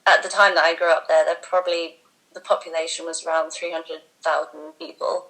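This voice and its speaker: A young woman who grew up in Bahrain, a band member, speaking easily in a casual interview, pausing on "um"s. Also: lowish voice, steady tone, gravelly, a bit bright, rough recording. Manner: easygoing, hesitant with "um"s.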